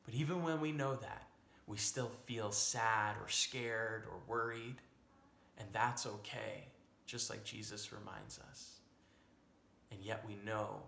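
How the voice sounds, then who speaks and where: A man's voice: on the low side, vocal fry, pushed and bright. A young American man speaking as a pastor giving a children's sermon, with simple, reassuring phrasing. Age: young adult. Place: small home room, indoors. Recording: echoey room, faint background noise.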